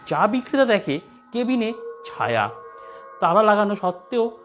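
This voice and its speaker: A narrator tells a scripted ghost story in a mid-pitched voice. The delivery moves up and down in an animated way, with soft music underneath. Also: moderate pace.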